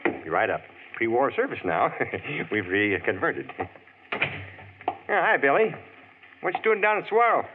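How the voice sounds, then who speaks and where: An actor playing a folksy, gossipy small-town diner cook in a 1940s radio drama, on an old tinny recording. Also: male voice, mid-range voice, big pitch swings, unhurried, long pauses, background noise. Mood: breezy.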